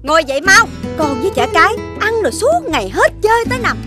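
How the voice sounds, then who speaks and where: An exasperated cartoon mother, nagging emphatically in a southern Vietnamese accent. The voice is high and swoops up and down, with music behind it. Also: very animated, quick pace. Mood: scolding. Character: exasperated scolding mother of a young child. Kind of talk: children's cartoon dubbing.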